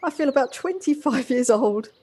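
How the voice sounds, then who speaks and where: A woman's voice: sits high, expressive, moderate pace, mellow, breathy edge. A woman playing a polite, effusive, wistful character in an amateur audio drama. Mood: exhilarated. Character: Josie, wistful, polite, effusive woman rediscovering childlike freedom. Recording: faint noise, home-recorded on personal device.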